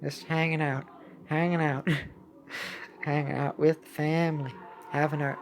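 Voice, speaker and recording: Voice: medium pitch, level tone, measured pace. A young adult giving casual, playful gameplay commentary in a rambling, chatty way. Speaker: gaming video host. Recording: clearly audible background noise.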